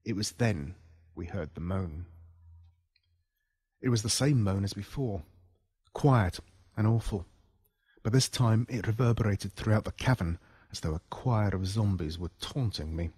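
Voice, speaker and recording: A man's voice: fairly low pitch, a little slow, long pauses, a little mellow, breathy edge, dry recording, studio quality. A man with an Australian accent reading a scripted first-person horror story in a dramatic, suspenseful way. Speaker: audiobook narrator.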